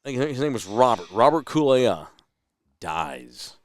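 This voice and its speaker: A man's voice: medium pitch, a little slow. Texan man telling a story. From Texas. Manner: animated storyteller, quotes others in a lively voice. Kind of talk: casual storytelling chat.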